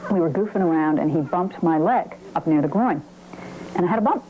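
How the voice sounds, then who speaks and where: A woman's voice: lowish voice, expressive, a little fast, narrow, tinny audio. A woman recounting her own story conversationally in an interview.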